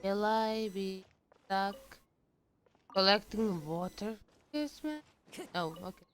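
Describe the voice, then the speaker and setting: A woman's voice: mid-range voice, animated, takes it slowly, slight background noise. A woman giving casual commentary as she streams a video game.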